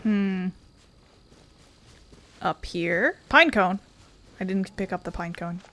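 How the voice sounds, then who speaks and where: A woman's voice: mid-range voice, animated, slow pace, pushed and bright. A woman giving casual, sweary let's play commentary, irreverent and half talking to herself as she plays. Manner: irreverent, sweary, muttering to self. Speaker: gaming video host. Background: faint game music.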